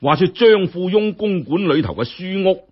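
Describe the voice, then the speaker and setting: A man's voice: high-pitched, lively intonation, normal speed, slightly rough, highs cut off. A man with a Hong Kong Cantonese accent narrating a story in a colloquial, theatrical, exclamatory way. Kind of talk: animated scripted story narration.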